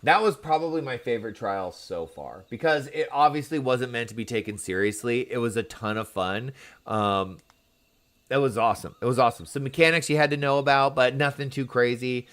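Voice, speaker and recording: An excitable, enthusiastic man giving casual, exclamatory live commentary as he plays an online role-playing game. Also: mid-range voice, a little fast. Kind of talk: live gameplay commentary, casual chat to viewers.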